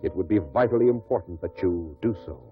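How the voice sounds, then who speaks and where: A man's voice: low voice, expressive, fairly quick. An American man narrating a 1950s radio drama as its announcer, solemn and portentous in scripted delivery. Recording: dull lo-fi radio recording with music.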